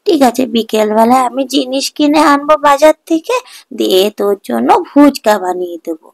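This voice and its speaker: A woman voicing a kindly old village grandmother in a cartoon folk tale, fond and reassuring, with drawn-out words. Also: high-pitched, gravelly, no room sound. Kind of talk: animated children's folk tale acting.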